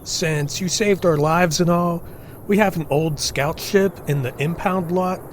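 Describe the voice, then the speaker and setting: A man's voice: on the high side, unhurried, slight background noise, close to an everyday voice. A man playing a timid, awkward agency employee with a sheepish, hesitant manner in a sci-fi comedy audio drama.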